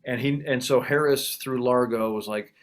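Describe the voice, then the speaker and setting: A man's voice: mid-range voice, steady tone, normal speed. A man hosting a conversation over a video call, talking in a rambling, self-deprecating way with many restarts. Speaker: event host.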